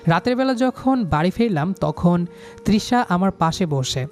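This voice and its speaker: A man's voice: high voice, expressive, unhurried, a little mellow, soft background music. A young man narrating a scripted first-person love story in a dramatic, sentimental manner.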